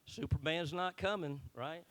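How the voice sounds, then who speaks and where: A man's voice: a little high, measured pace, a bit bright. A man introducing a guest at a town hall, earnest and a bit unpolished on stage. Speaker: event organizer introducing the speaker. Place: meeting hall.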